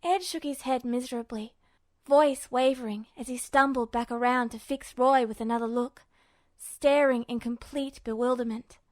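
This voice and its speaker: Female fiction narrator, high-pitched, expressive scripted read, very dry sound. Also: normal speed, no echo.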